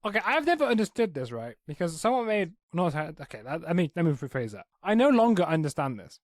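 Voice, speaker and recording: A man's voice: fairly high pitch, very animated, fast talker. A young man with a British accent in casual podcast chat, questioning and arguing his points. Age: young adult. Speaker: podcast co-host.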